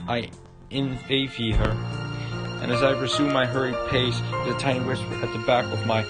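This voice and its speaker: Halting male streamer reading aloud over loud music. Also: mid-range voice, little pitch movement. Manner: halting reading with stumbles and repeated words. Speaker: livestreamer reading a visual novel aloud. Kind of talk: live narration of game text. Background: game music.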